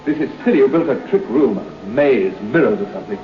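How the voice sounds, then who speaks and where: An actor playing a proud, unnerved man trapped alone in a dark room, muttering to himself with forced bravado in an old-time radio drama. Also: medium pitch, expressive, quick pace, close to an everyday voice. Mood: rattled, near panic. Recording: dull, noisy old radio broadcast.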